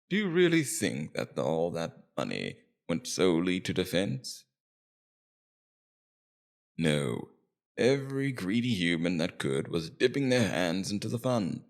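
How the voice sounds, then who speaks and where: A man playing a scheming, immortal Demon King in an ASMR roleplay, taunting and persuasive, with theatrical, deliberate emphasis. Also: deep voice, creaky, exaggerated voice. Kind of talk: ASMR roleplay, scripted villain monologue.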